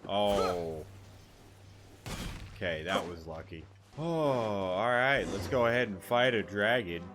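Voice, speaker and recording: A man's voice: on the low side, expressive, slow pace. A young man giving rambling, off-hand gameplay commentary with casual swearing. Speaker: gaming streamer. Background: game music.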